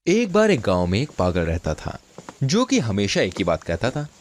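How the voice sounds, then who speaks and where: A man's voice: fairly low pitch, wildly swooping pitch, moderate pace, faint music behind. A man narrating a scripted children's folk tale as a storyteller.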